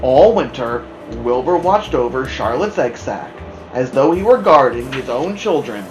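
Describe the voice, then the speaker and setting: A woman narrating a children's story aloud as a host, in an expressive storytelling style. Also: a bass voice, measured pace, highs cut off, faint music behind. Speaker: storybook reader, podcast host. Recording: roomy, echoing room sound.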